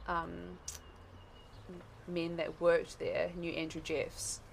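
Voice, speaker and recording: A woman with a New Zealand accent, chatting casually as an interview guest, rambling with lots of fillers and quick laughs. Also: fairly low pitch, completely flat delivery, gravelly, slight background noise.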